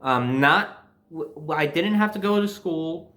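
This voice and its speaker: A young man telling personal stories in a casual, animated way, swearing freely and acting out bits of dialogue. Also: on the high side, unhurried. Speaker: storyteller.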